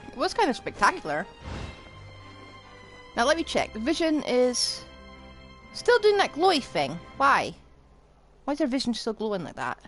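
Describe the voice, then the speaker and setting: A woman streaming a game in a high, extremely animated voice. She reacts casually and playfully, full of exclamations, with game music faintly behind. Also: normal speed, pauses often. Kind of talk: casual live gameplay reaction.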